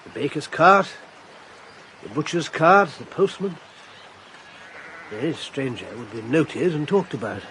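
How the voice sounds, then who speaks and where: An actor playing a middle-aged retired general who also narrates the story, in a radio drama. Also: male voice, a little high, animated, fairly bright tone, a little hiss or hum, rough recording. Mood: assured. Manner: assured, measured.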